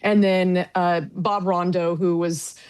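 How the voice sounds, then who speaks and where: A woman's voice: fairly low pitch, little pitch movement, takes it slowly, darkish tone, clear, resonant tone. A middle-aged American woman, a sports broadcaster, talking casually and with self-deprecating humor in an interview. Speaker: sports broadcaster, radio play-by-play announcer.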